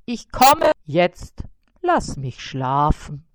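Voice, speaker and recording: Grumbling, lazy male spider, played by a woman, slow and weary. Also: sits low, very animated, dry recording, exaggerated voice.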